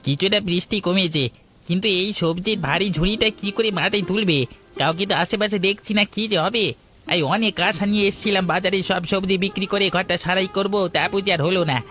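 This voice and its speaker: A man's voice: high-pitched, steady tone, quick pace, sharp tone, faint music behind. A man voicing an anxious, struggling village vegetable seller in a cartoon, with a fretful, beseeching manner. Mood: worried. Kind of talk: cartoon voice acting.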